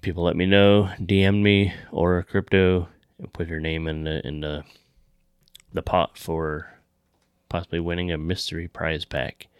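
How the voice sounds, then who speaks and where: A man's voice: low-pitched, flat delivery, normal speed, clear, resonant tone, no echo. A man hosting a podcast, chatting casually and making show announcements. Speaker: podcast host.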